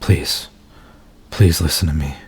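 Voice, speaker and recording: A man acting an anguished, pleading werewolf in human form for an ASMR roleplay, speaking in an intimate, halting, confessional way with a gravelly voice. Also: rumbling low, steady tone, heavily acted. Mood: urgent, pleading.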